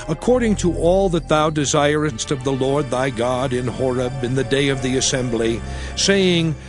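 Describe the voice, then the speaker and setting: A man reading scripture as narrator in a solemn, measured, dramatized style. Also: medium pitch.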